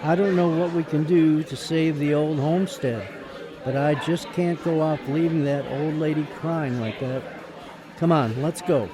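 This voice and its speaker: An actor playing a brusque, sardonic attorney with a soft heart in a radio drama. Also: male voice, a little high, fairly even intonation, normal speed, crowd noise, lightly acted. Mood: earnest, persuasive.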